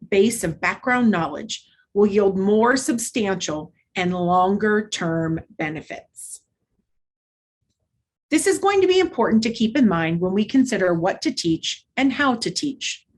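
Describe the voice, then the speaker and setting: Female instructor for a training lesson, medium pitch, methodical and clearly organized delivery. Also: expressive, a little slow. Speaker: literacy instructor. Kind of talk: instructional presentation with modeled read-aloud.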